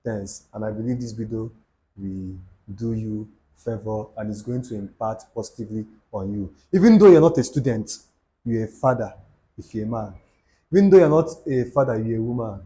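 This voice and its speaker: A man's voice: lowish voice, fairly quick, warm tone. A young Nigerian man with an Igbo accent, talking to camera in a preachy, repetitive way and dropping Pidgin asides. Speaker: YouTube channel host. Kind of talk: motivational talk to camera.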